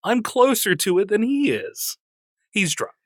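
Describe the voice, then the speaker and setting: A man's voice: high voice, very animated, unhurried, a bit bright, no echo. A man co-hosting a casual podcast, joking and teasing his co-host and laughing often, on a studio mic. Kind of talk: casual chat. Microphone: studio mic through a mixer.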